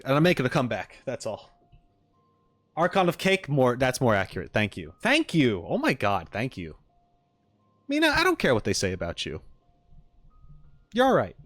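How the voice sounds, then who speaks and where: Male streamer, animated and swooping in pitch, rambling casual chat, joking manner, close dry sound. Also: dry recording. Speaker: streamer reacting to game videos.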